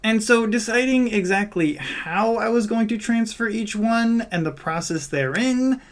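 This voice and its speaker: A man's voice: sits high, lively intonation, measured pace. A man hosting a video series, reading scripted narration with a theatrical, emphatic delivery.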